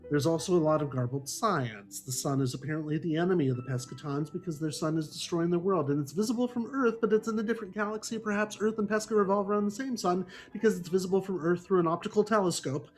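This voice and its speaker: A man's voice: high voice, fast, few pauses, faint music behind. A man hosting a book review discussion, speaking in a wry, articulate and emphatic way. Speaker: podcast host and moderator; English composition teacher. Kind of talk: book review discussion, partly reading prepared notes.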